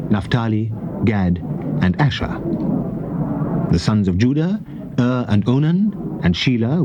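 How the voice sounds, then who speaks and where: Over clearly noisy old cassette tape, a man with a low voice reads scripture aloud. His delivery is solemn and measured, declaimed in the manner of a dramatized King James Bible, with lively rises and falls in pitch. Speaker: scripture narrator.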